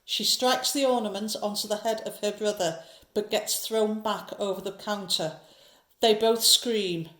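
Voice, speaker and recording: A woman reads scripted narration for a horror audio drama. Her pitch is average for a woman, and the room adds clear echo around her words. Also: steady tone, measured pace, fairly bright tone.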